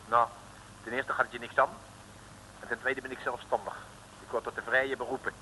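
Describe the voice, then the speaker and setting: An actor playing a surly, stubborn small-time crook in an old radio serial, gruff and insolent. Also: male voice, mid-range voice, little pitch movement, a little fast, pushed and bright. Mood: defiant, insolent. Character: surly, defiant small-time male crook under interrogation. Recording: noisy old radio drama recording.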